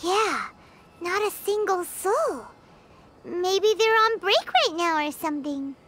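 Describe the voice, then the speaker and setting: A woman voicing a tiny, excitable, childlike fairy companion in a video game, squeaky and chatty, exclaiming and speaking of herself in the third person. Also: slow pace, slight background noise, heavily acted. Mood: watchful, puzzled. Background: music.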